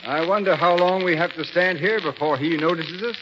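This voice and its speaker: A man's voice: on the high side, brisk, lightly acted. Actor as a middle-aged lawman, dull vintage radio sound. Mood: teasing. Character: sensible middle-aged district attorney, teasing old friend. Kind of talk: 1940s radio drama acting. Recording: dull, noisy old radio recording.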